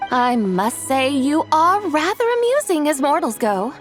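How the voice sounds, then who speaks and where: A woman's voice: high-pitched, big pitch swings, soft background music. A woman voicing a regal, youthful-seeming ancient immortal who speaks formally and haughtily in a video game. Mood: amused, haughty. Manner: formal, haughty, measured recitation. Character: lofty ancient female immortal in youthful form, curious, regal. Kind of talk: video game cutscene acting.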